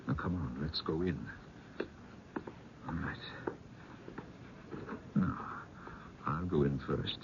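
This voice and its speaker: A man's voice: slow pace, long pauses. A man playing a stubborn, fearful old uncle in an old-time radio drama, with an emphatic, theatrical delivery. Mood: determined. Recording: dull, noisy old radio recording, rumble.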